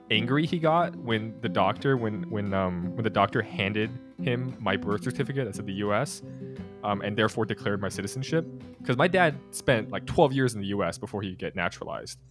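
A man's voice: lowish voice, expressive, a little fast, music in the background. A young man from the United States co-hosting a podcast, talking in a thoughtful, hesitant way with many restarts and small laughs. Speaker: podcast co-host. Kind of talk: casual conversational podcast.

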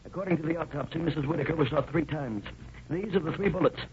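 Actor as brisk, high-pitched radio forensic investigator. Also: male voice, darkish tone, lightly acted. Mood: explaining. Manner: crisp, explanatory delivery. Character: brisk, keen male police forensic investigator. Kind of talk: old-time radio crime drama acting. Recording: muffled, noisy vintage radio recording.

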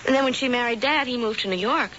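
High-voiced, naive young woman. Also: quick pace, slight background noise. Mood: apologetic. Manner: shy, stammering, apologetic. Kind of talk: old-time radio acting. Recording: dull old radio recording, loud music behind.

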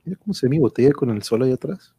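A man's voice: mid-range voice, animated, rapid-fire, warm tone, slight background noise. A man with a Mexican Spanish accent hosting an online show, chatting in an informal, slangy, rambling way. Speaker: host of an online radio drama show. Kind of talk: casual live-stream introduction and chat.

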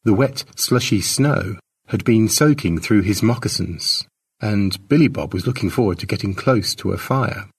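A man narrating a short story as an expressive audiobook reading. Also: fairly low pitch, normal speed, breathy edge, distant mic.

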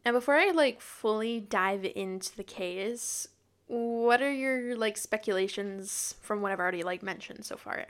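A woman's voice: medium pitch, measured pace. Podcast host: woman with a mid-range voice, reads a true-crime script steadily, casual when she chats.

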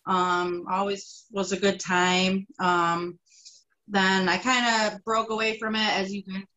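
A woman in her thirties from upstate New York, telling her story as a guest in a relaxed chat, pausing often with ums. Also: mid-range voice, rough recording. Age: 30s. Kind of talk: casual interview chat.

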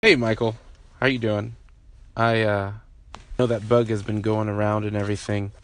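Man, faith podcast host, earnest loose talk, lowish pitch, light noise under it. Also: little pitch movement, moderate pace, a bit bright. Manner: earnest, loose, with fillers and restarts.

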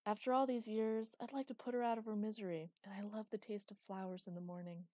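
A woman voicing a reckless, bloodthirsty man who shouts and taunts loudly. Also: mid-range voice, steady tone, fast, dry recording, pitched above the performer's own voice, close to an everyday voice. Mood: gleeful, taunting. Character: reckless bloodthirsty young man, gleeful and crude.

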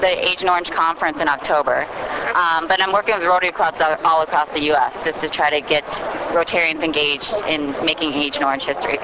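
A woman's voice: low voice, fairly even intonation, fast talker. A young woman explaining her advocacy work in an interview, earnest and informative with some fillers. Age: young adult. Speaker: advocacy organizer, guest. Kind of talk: casual interview answers. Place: convention exhibit hall. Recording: noisy convention floor.

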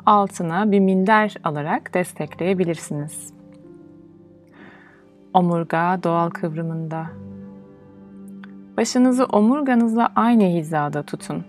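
A woman guiding a meditation in a soothing, measured, instructional way, leaving pauses between phrases. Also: mid-range voice, darkish tone. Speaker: meditation guide. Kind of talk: guided yoga nidra meditation, instructional. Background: music.

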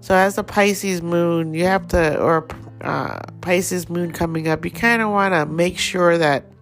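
A woman with a Thai accent giving a relaxed, rambling daily astrology forecast as host. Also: deep voice, gravelly.

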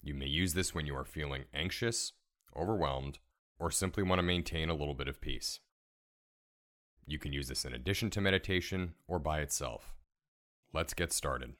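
A man leading a guided relaxation meditation in a calm, soothing, measured way, giving gentle step-by-step instructions. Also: extremely low pitch. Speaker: meditation guide, podcast host.

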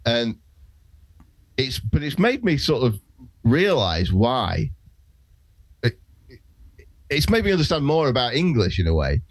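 Male podcast co-host, mid-range voice that swings widely in pitch, wry humor, dry close-miked sound. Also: very animated, a little slow, pauses often, dry recording. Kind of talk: casual podcast chat.

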